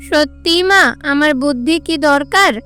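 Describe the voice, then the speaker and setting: A woman's voice: high voice, slow, bright, forceful tone, faint music behind, pitched above the performer's own voice, exaggerated voice. A woman voicing a hurt, sulky young boy god in a cartoon, plaintive and earnest. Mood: doubtful.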